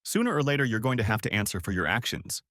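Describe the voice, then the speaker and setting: A man's voice: on the low side, big pitch swings, fast talker, fairly bright tone, dry recording, studio quality. A man reading a story aloud, voicing a stern middle-aged mother who scolds her grown daughter bluntly. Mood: scolding.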